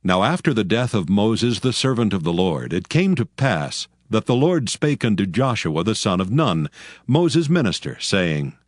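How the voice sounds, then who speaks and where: A man giving scripted scripture narration in a measured, formal way. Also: fairly low pitch, sing-song, no echo, clean studio sound.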